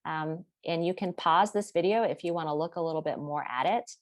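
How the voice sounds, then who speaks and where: A woman presenting a class project in a steady, explanatory way, partly read from notes. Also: sits low, brisk, no echo, studio quality. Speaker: student presenter.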